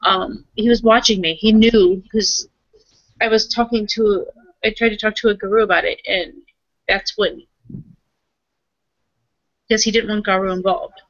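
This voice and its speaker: A woman's voice: mid-range voice, fairly even intonation, dry recording, muffled recording. A woman playing a tabletop roleplaying game, talking casually in character and often correcting herself as she explains. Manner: halting, self-correcting, explanatory.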